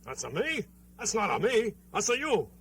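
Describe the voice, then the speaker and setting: Squeaky bickering little creature. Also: male voice, huge pitch swings, normal speed, fairly bright tone, a little hiss or hum, exaggerated voice. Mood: insistent. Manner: insistent, repetitive, squeaky.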